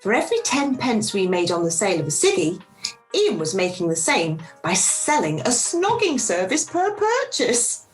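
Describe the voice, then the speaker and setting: A woman's voice: mid-range voice, huge pitch swings, normal speed, faint music behind. A woman acting a chatty, cheeky British deli owner in a radio play, theatrical and jokey, recorded over a video call. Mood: sheepish confessing.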